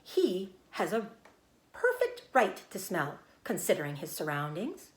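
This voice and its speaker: Woman as a calm, firm motherly spider. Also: on the low side, roomy sound. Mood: sharp. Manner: comforting, measured, firm. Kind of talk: children's storybook read-aloud.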